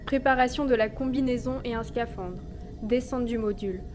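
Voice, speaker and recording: A young woman playing a formal spaceship commander, reciting a mission log in measured, official sentences for a sci-fi audio drama. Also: on the high side, over music, lightly acted. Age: young adult. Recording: clearly noisy, ship ambience behind.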